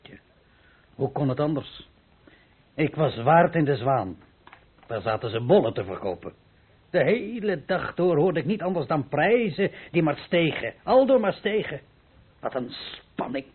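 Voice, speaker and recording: Husky, dejected bankrupt innkeeper. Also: male voice, fairly high pitch, very animated, a little slow, full character voice. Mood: agitated, regretful. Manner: hesitant, stammering, rueful. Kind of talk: Dutch radio play acting. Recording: muffled old radio play recording.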